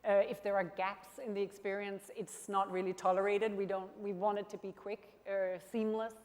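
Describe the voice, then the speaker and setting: A middle-aged woman from Denmark, an insurance executive speaking on stage, with thoughtful, slightly halting phrasing. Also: medium pitch, little pitch movement, darkish tone. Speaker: panel guest, insurance executive. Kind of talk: onstage conversation. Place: conference stage. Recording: reverberant conference hall.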